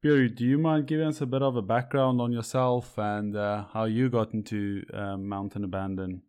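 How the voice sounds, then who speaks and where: A man's voice: on the low side, fairly even intonation, normal speed, darkish tone, smooth. A man with a South African accent, a native Afrikaans speaker and coffee roaster, co-hosting a podcast in an enthusiastic, chatty way. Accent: South African English. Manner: enthusiastic, chatty, many fillers. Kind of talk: casual podcast talk.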